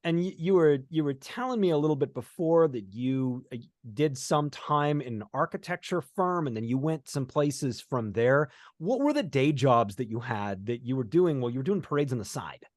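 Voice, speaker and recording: A man's voice: mid-range voice, animated, normal speed, hardly any pauses, darkish tone, dry recording, clean studio sound. A man hosting a conversational interview, reflectively summing things up before he asks a question. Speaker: interview host.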